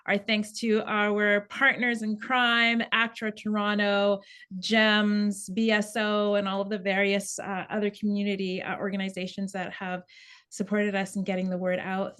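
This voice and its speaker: A woman's voice: mid-range voice, flat delivery, normal speed, a bit bright, clean tone. A woman hosting an informal script table read, addressing the group conversationally.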